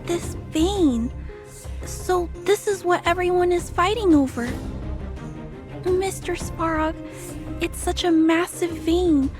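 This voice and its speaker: Very high-voiced, earnest little girl. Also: slow, long pauses. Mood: earnest, awed. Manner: polite, earnest. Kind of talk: video game cutscene acting. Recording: light music behind the voice.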